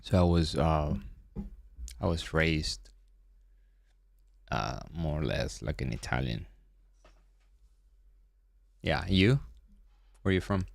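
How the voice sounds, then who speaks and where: A man from Venezuela, hosting a day-trading livestream and chatting casually with viewers, often repeating words and trailing off low. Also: slow pace, vocal fry, pauses often.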